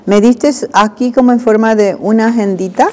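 A woman's voice: on the high side, fairly even intonation, moderate pace, a little mellow, slight background noise. A woman in her sixties hosting a community TV interview in a small studio, who often repeats her guest's details back. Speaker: host. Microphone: lapel.

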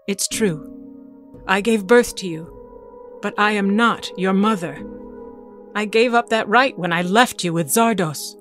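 A woman's voice: medium pitch, a bit bright. An actress playing an ageless mutant mother in an audio drama, cold, guarded and authoritative in clipped phrases. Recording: music under the dialogue.

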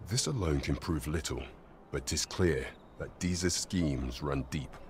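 A very low-voiced, grave captain of the guard counsels his sovereign in a fantasy game. He is courtly and measured, with loyal formality and archaic phrasing. Some noise sits behind the voice. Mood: earnest. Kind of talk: scripted fantasy game acting in archaic English.

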